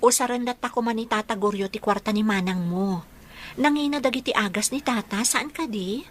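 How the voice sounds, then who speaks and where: A woman's voice: a little high, fairly quick, a little hiss or hum, natural voice. An actress with a Filipino Ilocano accent playing a caring, inquisitive young engaged woman in a radio drama. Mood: questioning, concerned.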